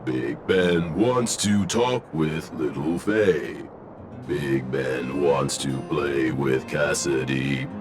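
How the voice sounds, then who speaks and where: A man voicing a simple, affectionate robot for a video game, childlike and halting, speaking in short phrases. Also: very deep voice, full character voice. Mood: homesick, plaintive. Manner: refers to himself in third person, short halting phrases. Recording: loud music bed. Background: loud music.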